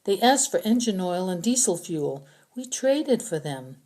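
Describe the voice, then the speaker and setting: A woman's voice: medium pitch, sing-song, a little slow, darkish tone, voice pushed up. A woman voicing a frantic captive man in an audiobook, insistent and pleading as he accuses and defends himself. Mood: defensive.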